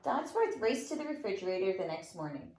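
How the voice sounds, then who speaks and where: Animated woman reading stories in a roomy space. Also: mid-range voice, brisk. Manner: animated, dramatic emphasis. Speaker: nursery school teacher reading a storybook. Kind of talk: expressive read-aloud storytelling for young children. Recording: roomy, echoing room sound.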